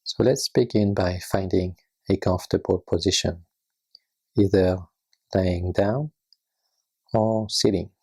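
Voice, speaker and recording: A meditation guide narrating a guided practice in a gentle, soothing and unhurried manner, with a husky, breathy, creaky voice. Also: low-pitched, fairly even intonation.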